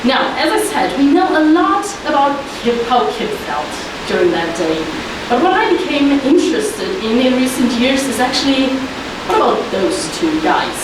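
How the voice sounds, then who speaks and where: A woman's voice: high voice, big pitch swings, normal speed. A woman with a German accent giving an informal, enthusiastic conference talk as an academic researcher. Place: indoor conference room. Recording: very echoey hall, distant mic, hissy noise.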